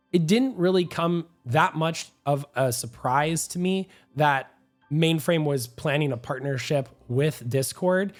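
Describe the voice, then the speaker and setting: A young man hosting a gaming news show, hoarse and a bit nasal from a cold, talking in an upbeat, talkative and self-assured way. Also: fairly high pitch. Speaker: gaming channel host. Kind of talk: energetic gaming news commentary.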